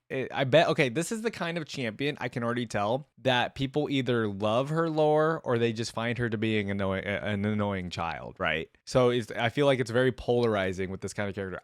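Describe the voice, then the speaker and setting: A man hosts a casual reaction video with an animated, varied delivery. He is enthusiastic and exclaims often. Faint music plays behind his mid-pitched voice.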